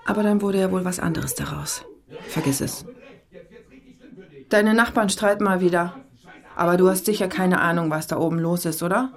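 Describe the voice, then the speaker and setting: A blunt cleaning woman played by an actress in a radio drama, with a lowish voice. She is weary but proud, plain-spoken and quick to mock herself. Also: lightly acted. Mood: hurt, reproachful. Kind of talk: naturalistic radio drama dialogue.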